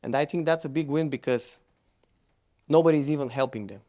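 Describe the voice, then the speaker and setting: Male presenter, English, relaxed, conversational, stumbles and restarts mid-sentence. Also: on the high side, brisk. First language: Bulgarian. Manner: loose, conversational, with frequent restarts. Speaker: conference speaker. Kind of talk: conference presentation with Q&A.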